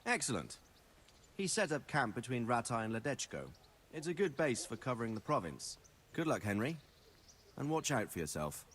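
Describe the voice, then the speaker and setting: A pragmatic middle-aged medieval nobleman in a video game. His mid-pitched voice moves with lively intonation, wry and measured, with the authority of a commander. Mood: brisk, encouraging. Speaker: lord giving orders to a subordinate. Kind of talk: scripted video game dialogue.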